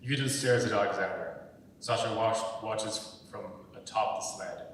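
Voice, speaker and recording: A young adult man reading stage directions as scripted narration for a dramatic reading. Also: unhurried. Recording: very echoey, distant, rumbling background noise.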